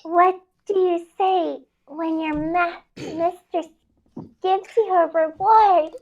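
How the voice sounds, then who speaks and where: A teasing, commanding young mistress, played by a woman speaks in a very high, coy voice. She draws the words out very slowly, and the sound is slightly dull. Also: fairly even intonation, darkish tone, full character voice.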